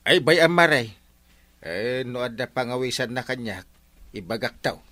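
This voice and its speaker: An actor playing an easygoing, gossipy village captain in an Ilocano radio comedy, animated and exclamatory, with an Ilocano accent. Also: male voice, mid-range voice, normal speed. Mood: casual, teasing.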